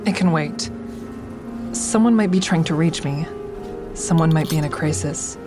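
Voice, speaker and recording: A woman's voice: low-pitched, normal speed, over music, close to an everyday voice. An actress playing a guarded, introspective woman who narrates her own story in an audio drama, with wry understatement. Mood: guarded, reflective. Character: guarded woman, a stand-up comic and crisis-line volunteer, narrating. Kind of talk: first-person audio drama narration and dialogue.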